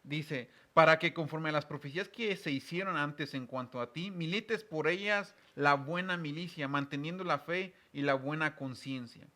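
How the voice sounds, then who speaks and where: Bright-voiced man earnestly teaching the Bible. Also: fairly high pitch, moderate pace, few pauses. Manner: earnest, explanatory, emphatic on key words. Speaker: religious programme host and Bible teacher.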